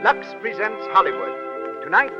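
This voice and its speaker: High-pitched 1930s American radio announcer man. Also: wildly swooping pitch, unhurried. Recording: muffled vintage 1930s radio, loud music.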